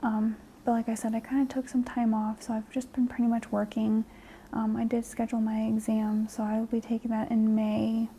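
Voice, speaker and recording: A young woman in her twenties, a guest, talking in a soft half-whisper with many fillers. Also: fairly high pitch, little pitch movement, a little fast, slight background noise, rough recording. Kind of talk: soft-spoken ASMR chat.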